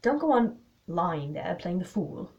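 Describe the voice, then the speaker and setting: Narrator acting young English woman, anxious, breathy, urgent, audiobook character. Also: sits high, big pitch swings, slow, warm tone, dull audio, voice pushed up, exaggerated voice. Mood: impatient.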